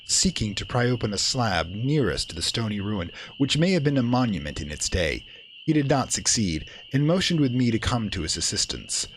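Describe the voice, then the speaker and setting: A man narrating a horror radio play in a grave, theatrical, deliberate manner. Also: lowish voice, fairly bright tone, a little hiss or hum, low-quality recording. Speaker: narrator and performer.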